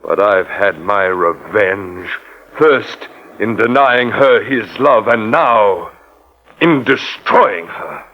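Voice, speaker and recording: An actor playing a mad, murderous doctor and bitterly jealous husband in a menacing, melodramatic 1940s radio style. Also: male voice, lowish voice, expressive, slow pace. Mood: brooding loathing. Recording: muffled vintage 1940s radio recording.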